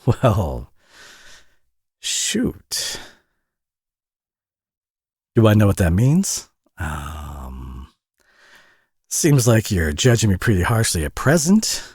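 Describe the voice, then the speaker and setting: Low-voiced, flustered Southern single dad, acted by a man. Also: huge pitch swings, drawn-out words, pauses often, darkish tone, breathy edge, no room sound, clean studio sound, full character voice. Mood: flustered, bashful. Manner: folksy, hesitant, chuckling drawl with pet names. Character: warm, flustered, tired Southern single dad, gently flirty. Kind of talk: intimate audio roleplay drama.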